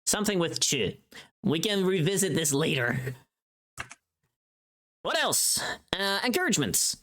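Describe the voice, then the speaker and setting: A livestreamer chatting casually while working, informal and thinking out loud. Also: medium pitch, very animated, unhurried.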